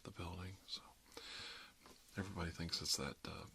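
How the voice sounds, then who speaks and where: A man's voice: sits low, normal speed, gravelly, sharp tone, slight background noise, full character voice. A man playing a chatty, friendly dentist in an improvised ASMR roleplay, talking in a hesitant, rambling way that drops into half-whispers. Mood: chatty, musing. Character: chatty, friendly emergency dentist treating monsters, mildly bigoted. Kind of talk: ASMR roleplay, improvised one-sided conversation.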